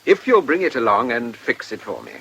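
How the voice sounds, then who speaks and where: A man playing a mild village gentleman with a British accent, courteous and slightly hesitant, in a radio drama. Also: mid-range voice, very animated, brisk, muffled recording. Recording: radio drama with loud background noise.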